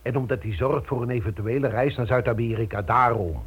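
An actor playing a practical, level-headed member of a criminal gang in a radio drama. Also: male voice, mid-range voice, a little fast, slightly rough, natural voice. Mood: firmly explaining. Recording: tinny, narrow old radio recording.

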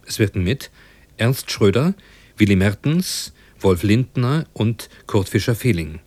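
A man with a slightly low voice announces a programme on the radio. His delivery is formal and scripted. The sound is close and very dry, with no room around it. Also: expressive, a little slow, darkish tone, dry recording.

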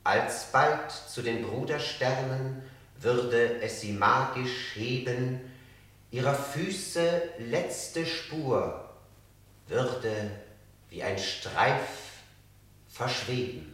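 A man's voice: medium pitch, lively intonation, very slow, fairly bright tone. An actor playing an earnest poet solemnly reciting his verse in a radio play, heard echoing from a distance. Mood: solemn, rapt. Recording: very echoey, distant from microphone.

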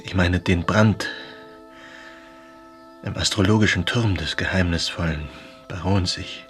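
An actor playing a melancholy, haunted writer confiding in a friend by letter in a German radio drama, intimate and brooding. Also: male voice, deep voice, a little slow, soft background music. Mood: mysterious, tender.

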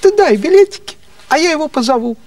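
An older man voicing an eager, wheedling male writer acquaintance in an excited, insistent way, as part of a theatrical monologue. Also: very high voice, fast talker, no echo, far from the mic, voice pushed up. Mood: eager coaxing.

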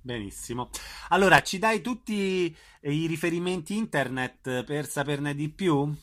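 A man's voice: fairly high pitch, expressive, unhurried, a bit bright. A man interviewing guests in a conversational radio style, putting his questions informally.

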